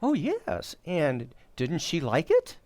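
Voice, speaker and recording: A man acting a bumbling, forgetful, excitable husband and father in an old-time radio comedy, with a flustered, stammering delivery. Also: a little high, takes it slowly, no echo, exaggerated voice. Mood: puzzled. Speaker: amateur actor.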